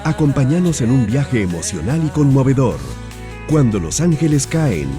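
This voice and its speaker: Dramatic promo announcer over music. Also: male voice, mid-range voice, big pitch swings, moderate pace. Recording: music bed under voice.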